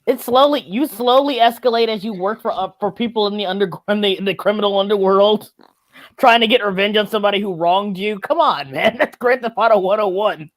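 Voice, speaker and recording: A man's voice: high voice, a little fast. A man hosting a casual group chat, with a teasing, jokey way of talking. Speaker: host.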